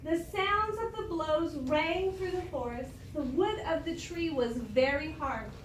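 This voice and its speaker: A woman teacher doing an expressive read-aloud for her class, stopping to give them prompts. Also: high-pitched, a little slow, a little hiss or hum. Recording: echoey classroom from far off.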